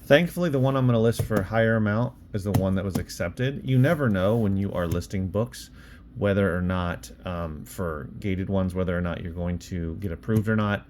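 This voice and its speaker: A man's voice: sits low, normal speed, darkish tone. A man chatting casually in a vlog about his own work, offhand and thinking aloud as he goes. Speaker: online reseller and bookstore owner, vlog host. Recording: clear background noise.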